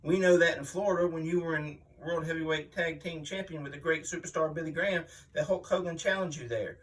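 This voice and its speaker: A man performs a veteran wrestling heel with a somewhat high voice, set back from the microphone. He is mean and full of bluster, bragging and griping in the style of a wrestling promo interview. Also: a little fast, distant mic, close to an everyday voice, poor audio. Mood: aggrieved, menacing. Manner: boastful, blustering, aggrieved. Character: boastful, mean veteran pro wrestler villain, male, older. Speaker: reader voicing an interviewed wrestler. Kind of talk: dramatic magazine interview reading, wrestling promo.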